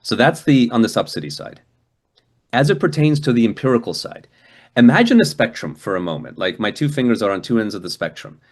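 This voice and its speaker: A man's voice: medium pitch, very animated, a little fast. A man from Hungary giving a guest lecture and answering questions over a video call, conversational, with frequent restarts and a habit of tagging "right?" onto his points. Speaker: guest speaker.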